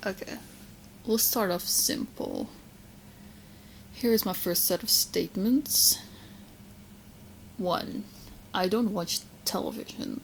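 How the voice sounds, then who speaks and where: A woman's voice: mid-range voice, slow, creaky, long pauses, a little hiss or hum, low-quality recording. A woman playing an obsessive, smug young witch in love, chatting coyly to the listener in a roleplay audio drama. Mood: sweet, coaxing. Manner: coy, smug, chatty, hesitant asides.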